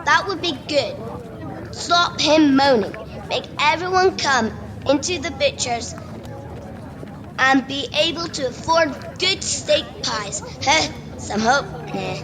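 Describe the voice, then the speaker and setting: An amateur actress with a Scottish accent playing a cheeky, daydreaming schoolboy in a radio play, eager and boastful. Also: female voice, sits high, lively intonation, slow pace, crowd noise. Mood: dreamy, earnest. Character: cheeky, daydreaming schoolboy, butcher's son under sixteen. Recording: noisy remote home recording.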